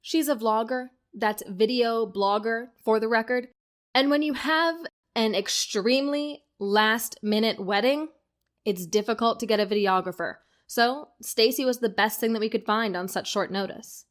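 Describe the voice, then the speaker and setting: A woman's voice: fairly high pitch, normal speed, lightly acted. An actor playing a defensive married woman in an audio drama, matter-of-fact and snippy. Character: brisk, defensive married woman with dry comebacks. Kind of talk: acted audio drama dialogue.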